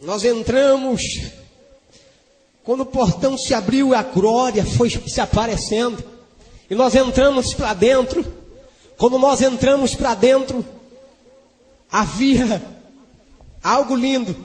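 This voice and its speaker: A man's voice: very high-pitched, takes it slowly, pauses often, darkish tone, long reverb tail, low-quality recording. A Brazilian man preaching and giving testimony in a fervent, exclamatory Pentecostal style, with bursts of speaking in tongues. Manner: fervent, exclamatory, repetitive storytelling.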